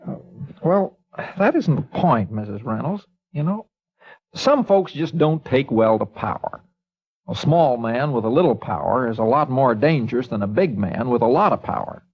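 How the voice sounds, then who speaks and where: A calm, folksy small-town newspaperman talks in an easygoing drawl. His voice is a little husky. The sound is dull and lo-fi, like an old radio broadcast. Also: medium pitch, normal speed. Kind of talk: 1950s radio acting.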